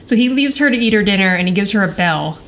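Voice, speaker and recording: A woman's voice: medium pitch, expressive, fast talker, fairly bright tone. A woman co-hosting a podcast, casually recapping in a chatty way and acting out bits of dialogue as she retells. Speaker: podcast co-host. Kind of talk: casual TV rewatch recap chat. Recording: clear background noise.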